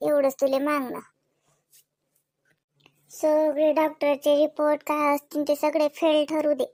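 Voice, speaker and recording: A woman's voice: high voice, no room sound, studio quality. A woman voicing an anxious, devout young village wife in a comedy cartoon, homely in manner, with a Varhadi Marathi accent. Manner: pleading, homely, chatty.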